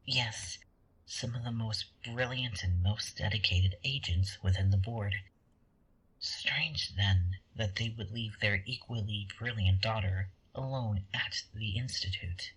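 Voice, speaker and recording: An actor in a sci-fi audio drama playing a conniving angel, smug and taunting, with a breathy, husky voice and a theatrical, condescending delivery. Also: mid-range voice, measured pace, fairly bright tone, low-quality recording.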